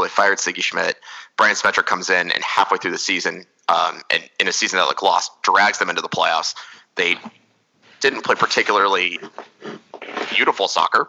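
Male podcast host, very low pitch and sharp tone, chatty soccer breakdown. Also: gravelly, low-quality recording. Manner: rambling, analytical, many restarts. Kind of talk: casual soccer analysis chat.

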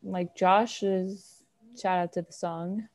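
A woman's voice: on the low side, fairly even intonation, darkish tone. A teenage girl in playful, bubbly chat with a friend. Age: teens. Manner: bubbly, fast back-and-forth, playful. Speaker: co-host. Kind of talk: casual chat.

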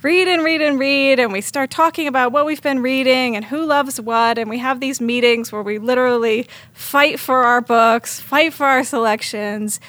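A woman's voice: high-pitched, normal speed, few pauses, a bit bright, dry recording. A woman answering as an interview guest, explaining things conversationally as an editor.

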